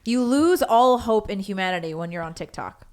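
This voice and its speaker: A woman co-hosts a casual podcast, blunt and jokey. Her pitch moves in wide, lively swings, and her voice sits in a middle register. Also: normal speed. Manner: blunt, joking. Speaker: podcast co-host.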